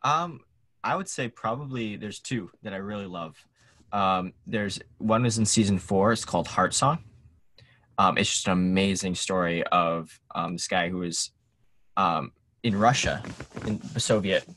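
A young man chatting casually as an interview guest, hesitant and halting in his delivery. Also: low-pitched, fairly bright tone, a little hiss or hum. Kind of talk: casual chat. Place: indoor room, seated on a couch on a video call.

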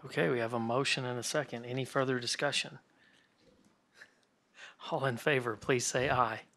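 A man as board president, moving the meeting along with brisk procedural calls and votes. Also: medium pitch, fairly even intonation, a bit bright. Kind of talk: chairing a meeting. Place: large board meeting room.

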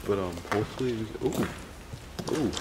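A young man casually narrating a handheld vlog while exploring an abandoned factory, relaxed and slangy, joking with his viewers. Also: on the low side, normal speed, heavy background noise. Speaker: urban explorer vlogger. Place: abandoned factory, large indoor space. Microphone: handheld camera mic.

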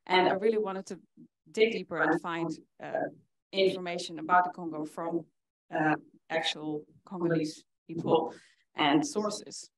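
A young woman from the Netherlands giving a conference talk in an earnest way, often pausing with fillers. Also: lowish voice, steady tone, rough recording. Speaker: conference speaker. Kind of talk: presentation talk. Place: conference hall with audience.

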